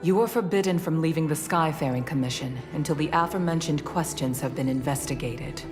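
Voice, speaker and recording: A woman's voice: low voice, fairly quick, lightly acted. A woman voicing a stern, authoritative helm master in a game, speaking formally and firmly. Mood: accusing, stern. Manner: formal, firm, unyielding. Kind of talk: scripted video game cutscene acting. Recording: long reverb, music behind.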